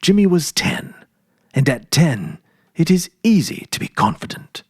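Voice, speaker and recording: A man's voice: on the high side, sing-song, a little slow, darkish tone, breathy edge, dry recording, clean studio sound. A man narrating a story as a dramatic audiobook reading.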